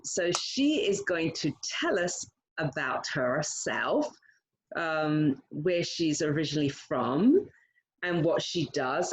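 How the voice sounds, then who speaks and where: A woman hosting an interview, speaking slowly and repeating her welcomes. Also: lowish voice. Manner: slow, warm welcoming with repeated words. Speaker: host. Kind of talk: casual conversational interview. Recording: dull, lo-fi with faint noise.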